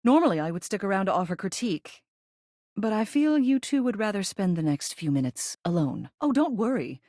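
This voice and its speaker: A woman's voice: medium pitch, very animated, a little fast, mellow, no room sound. A woman voicing a genteel, wry older female living ceiling who loves theater in a video game, refined and composed with dry wit. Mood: composed, tactful. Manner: refined, composed, dryly witty theater critic.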